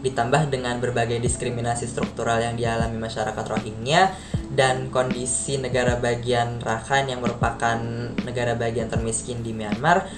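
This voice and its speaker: A young woman reading a scripted explainer as a student presenter. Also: extremely low pitch. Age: young adult. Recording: echoey room, music behind. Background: background music.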